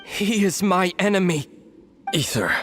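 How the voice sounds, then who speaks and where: An actor playing a resolute, melancholy young prince in an anime game, speaking in a measured, grave, formal way. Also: male voice, high-pitched, lively intonation, a bit bright. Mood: stern. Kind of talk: dramatic anime game voice acting. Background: background music.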